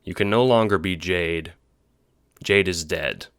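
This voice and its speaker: A man's voice: low voice, a little slow, a bit bright, smooth. A man reading scripted, dramatic second-person narration as the narrator of an audio drama.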